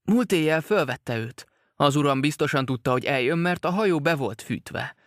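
A man's voice: on the high side, lively intonation, no room sound, clean studio sound, voice pushed up, lightly acted. A man voicing a worried, talkative boatman's wife, rambling on about her absent husband in an anxious, chattering way. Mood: fretful chatter.

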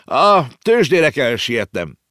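A man voicing a busy young boy who acts like a hurried grown-up in a storybook reading. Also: medium pitch, big pitch swings, a bit bright, dry recording, studio quality, voice pushed up. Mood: brisk.

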